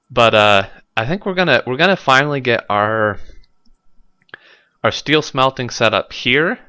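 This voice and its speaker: A man's voice: medium pitch, lively intonation, slow pace, long pauses, pushed and bright, clear, resonant tone, no echo, clean studio sound. A man with a stuffy nose commenting casually on his gameplay, thinking aloud with lots of fillers, recorded on a desk microphone at home. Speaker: let's play host.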